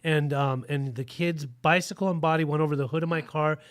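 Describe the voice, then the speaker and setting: A man who was born in Germany and raised in Utah, telling his recovery story on a video call with an earnest, halting delivery. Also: on the high side, fairly even intonation. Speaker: guest sharing recovery story. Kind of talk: personal testimony.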